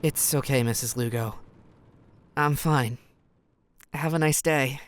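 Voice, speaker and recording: A woman playing a polite, quietly resilient teenage schoolboy in an audio drama, speaking with restraint. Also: extremely low pitch, takes it slowly, no room sound. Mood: subdued.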